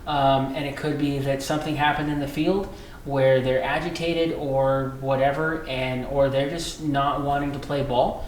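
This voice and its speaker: An American man being interviewed as a police dispatcher, explaining in a conversational, halting way with repeated phrases. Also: medium pitch, little pitch movement. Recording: echoey room with faint background noise.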